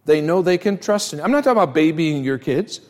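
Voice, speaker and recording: A man's voice: fairly high pitch, animated, fast talker, darkish tone. A middle-aged American man preaching a sermon as a pastor, speaking in an emphatic, folksy way straight to his listeners and repeating phrases. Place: large church hall, live service. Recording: long hall reverb on voice.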